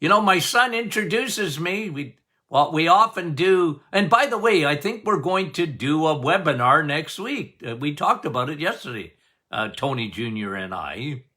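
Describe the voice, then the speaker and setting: Loud, emphatic older man doctor. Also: on the high side, big pitch swings, normal speed. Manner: loud, emphatic, passionate, laughs often. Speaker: podcast host, doctor. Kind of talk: casual health podcast talk.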